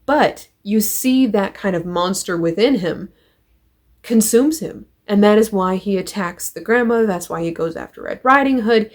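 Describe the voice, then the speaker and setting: A woman's voice: medium pitch, darkish tone. A woman with a mid-range voice hosts an opinionated review. She retells and critiques in a conversational style, leaning on emphasis and rhetorical questions to make her points. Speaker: comic book reviewer and host.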